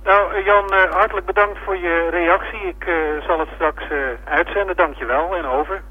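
Dutch male radio reporter, very high-pitched, searching for words on a narrow tinny phone line. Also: fairly even intonation, normal speed, husky. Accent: Dutch from the Netherlands. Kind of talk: interview questions, improvised.

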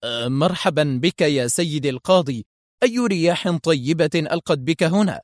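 A flustered, fawning prison warden from an audiobook, voiced by a man a little above his normal pitch. He trips over his words and tries anxiously to please, recorded in a dry, close space. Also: animated, normal speed, dry recording, natural voice. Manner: stammering, fawning.

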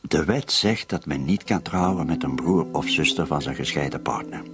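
An actor playing a shrewd, sceptical police commissioner with a husky voice in a radio drama. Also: male voice, extremely low pitch, normal speed. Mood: evasive, domestic. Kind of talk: Dutch-language radio drama dialogue.

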